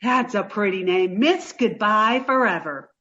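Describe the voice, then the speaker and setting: A woman's voice: mid-range voice, lively intonation, measured pace, sharp tone, dull audio. A woman playing a dim-witted, sweet, scatterbrained young woman in a comic old-time radio play, gushing and literal-minded. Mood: cheerful, naive. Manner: naive, scatterbrained, gushing, takes everything literally.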